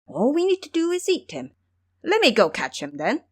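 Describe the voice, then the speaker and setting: Lady doing a brash younger monster brother, impulsive, questions everything, pitch swoops up. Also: wildly swooping pitch, normal speed.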